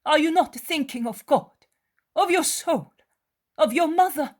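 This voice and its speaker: A woman's voice: high-pitched, expressive, measured pace, a little mellow, pitched above the performer's own voice. A woman voicing a tender pleading mother. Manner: earnest and pleading.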